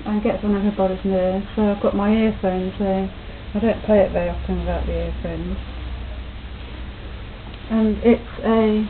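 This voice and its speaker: A young woman chatting casually and self-deprecatingly in her living room, talking quietly into a built-in webcam mic. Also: fairly even intonation, normal speed. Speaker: home video maker. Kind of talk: casual home video chat. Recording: tinny low-quality webcam audio with faint hiss.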